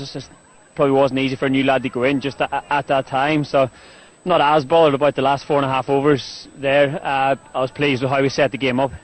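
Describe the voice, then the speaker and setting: A man, a team captain answering post-match questions in a casual, halting way full of fillers and restarts. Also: mid-range voice, low-quality recording.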